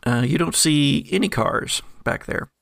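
Gravelly male game master, dry close sound, descriptive narration with fillers. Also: fairly high pitch, expressive, unhurried, a bit bright, dry recording.

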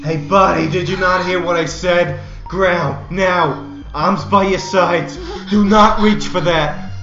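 An actor playing a desperate, panicky younger armed robber in an audio drama, agitated and barking orders. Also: male voice, high voice, fairly even intonation, takes it slowly. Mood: aggressive. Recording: roomy muffled sound under music.

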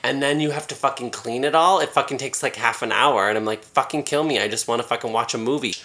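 A woman's voice: rumbling low, fast talker, fairly bright tone. A woman co-hosting a comedy podcast, chatting casually in a blunt, sweary, joking way. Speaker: podcast co-host.

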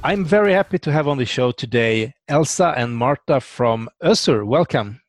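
A man's voice: medium pitch, expressive, normal speed, faint music behind. A man hosting a podcast, talking casually as he introduces and questions his guests. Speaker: podcast host. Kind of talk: interview, casual chat.

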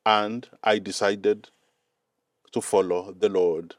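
A man's voice: lowish voice, flat delivery, slow pace, no echo, clean studio sound. A man from Kenya with a Kenyan accent, speaking as a guest in a conversational interview, earnest and often repeating words as he gathers his thoughts. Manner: earnest, repeats words while finding them. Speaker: guest, mission director.

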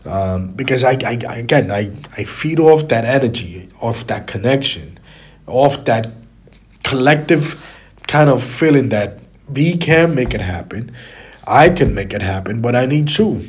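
Guy doing a solo podcast, husky and congested, rambles and repeats himself, average pitch. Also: expressive, measured pace. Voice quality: raspy. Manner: rambling, repeats phrases for emphasis. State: stuffy, slightly hoarse from a cold. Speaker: podcast host. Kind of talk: casual solo podcast monologue.

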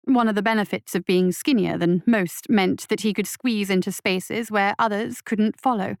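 Female story narrator, medium pitch, scripted fiction reading, dry studio-like sound. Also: fairly even intonation, normal speed, dry recording.

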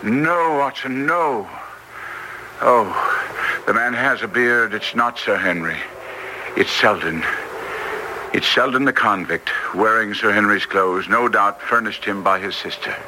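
A man playing a brisk, masterful English detective in classic radio drama style, theatrical and clipped, with an English accent. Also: fairly low pitch. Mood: bitter, agitated. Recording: noisy vintage radio recording.

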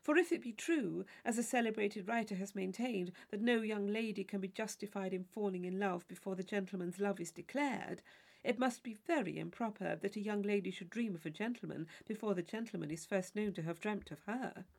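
A woman narrating a classic novel from a script, in an expressive, measured storytelling manner. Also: mid-range voice, creaky. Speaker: audiobook narrator.

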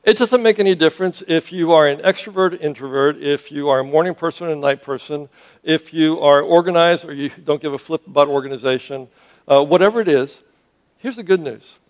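A man's voice: on the high side, a little fast. Earnest male pastor preaching. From Cuba. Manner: earnest, repeats and restarts words, asks the audience questions. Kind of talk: sermon.

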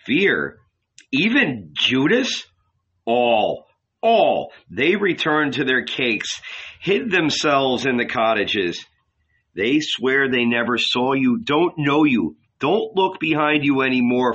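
Male reader doing a sly, teasing angel, slow and coaxing; muffled lo-fi recording. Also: medium pitch, big pitch swings, pushed and bright, husky, voice pushed up, full character voice. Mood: mocking. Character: cunning tempting male angel, mocking. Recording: dull lo-fi recording.

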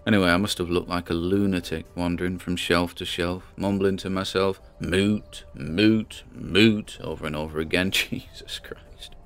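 A man's voice: sits low, fairly even intonation, smooth. An actor playing a weary, spooked man obsessed with a mystery, rambling and muttering through his audio diary. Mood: wry, baffled. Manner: rambling, muttering, halting, self-questioning. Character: obsessive, spooked, weary amateur male investigator recording audio logs. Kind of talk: audio diary drama monologue.

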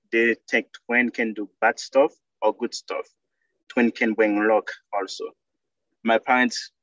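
A man in his thirties from Haiti with a Haitian accent, speaking earnest, halting English as a guest on a podcast. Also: lowish voice, a bit bright, no echo, dull audio. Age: 30s. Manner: earnest, halting non-native English with simple phrasing. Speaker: doctoral student guest. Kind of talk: podcast interview.

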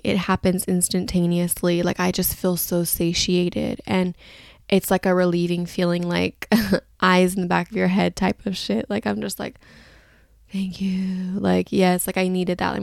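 A woman's voice: fairly low pitch, flat delivery, normal speed, vocal fry, a little mellow, no room sound, studio quality. A woman hosting a podcast solo in a casual, chatty, rambling and candid way, with a slightly groggy voice. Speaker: podcast host.

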